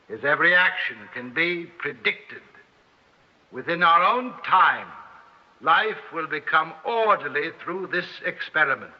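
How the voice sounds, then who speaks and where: Pompous, high-voiced scientist on old radio. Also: male voice. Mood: cool, detached. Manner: pompous, lecturing, precise. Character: arrogant male scientist coolly presenting his findings. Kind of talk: old-time radio drama acting. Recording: dull, band-limited old radio recording.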